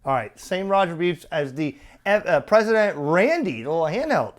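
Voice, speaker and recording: Higher-pitched man giving a casual gadget demo. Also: very animated, normal speed, noisy background. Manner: opinionated, conversational, with rhetorical questions and tag questions. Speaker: radio reviewer presenting a product demo. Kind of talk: casual off-the-cuff hands-on demo talk.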